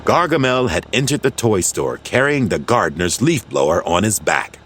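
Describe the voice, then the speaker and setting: A man narrating a children's storybook in an animated, expressive way. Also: on the low side, moderate pace, slight background noise. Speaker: audiobook narrator.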